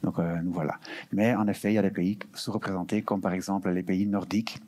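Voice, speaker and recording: Low-voiced man, recruitment office speaker at a Q&A, hesitant, lots of fillers, mixes in English terms. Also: flat delivery, fast talker, darkish tone. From Belgium. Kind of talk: answering questions at an info session.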